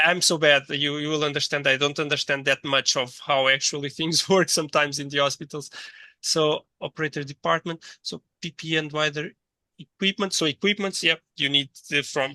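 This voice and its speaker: Bright, dry-voiced man lecturing, thinking aloud. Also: fairly high pitch, gravelly, no room sound. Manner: hesitant, thinking aloud with repeated words. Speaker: course lecturer. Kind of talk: interactive online teaching, reading chat replies.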